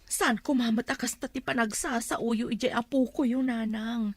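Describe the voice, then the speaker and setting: A woman's voice: on the high side, steady tone, normal speed. A woman playing a worried middle-aged mother who talks anxiously and pleadingly to her daughter and her own mother.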